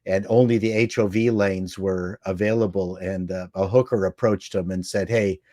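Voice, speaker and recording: A man's voice: sits low, steady tone, moderate pace, warm tone, dry recording, studio quality. A man on a panel chatting casually and telling anecdotes.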